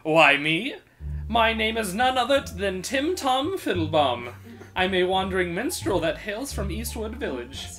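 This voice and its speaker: Man putting on a sing-song, high-pitched cheerful minstrel. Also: big pitch swings, measured pace, fairly bright tone, soft background music, heavily acted. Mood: jolly, boastful. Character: relentlessly cheerful, chatty wandering minstrel man.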